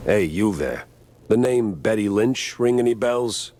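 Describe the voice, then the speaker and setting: Low-voiced hard-boiled detective. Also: male voice, animated, a little slow, background noise. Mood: dry, sardonic. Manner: dry, sardonic, unflappable. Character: hard-boiled wisecracking male mouse private eye, noir detective. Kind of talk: noir video game voice acting.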